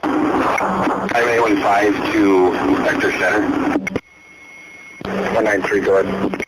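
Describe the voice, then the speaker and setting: A man's voice: on the low side, steady tone, takes it slowly. A man speaking as a police officer in terse radio transmissions. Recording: very noisy archived police radio traffic.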